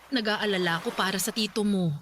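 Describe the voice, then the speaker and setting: A woman's voice: mid-range voice, fast, a bit bright, a little hiss or hum, natural voice. A woman acting a worried middle-aged mother with a Filipino accent in a fretful, emotive Tagalog radio drama. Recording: music behind the voice.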